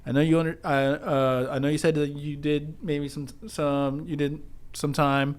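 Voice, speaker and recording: A man's voice: a little high, level tone, moderate pace, clean tone. A man hosting a podcast, from the American Southwest, chatting casually and laughing along with his guest. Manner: short backchannels, laughs along. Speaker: podcast host. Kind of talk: casual interview.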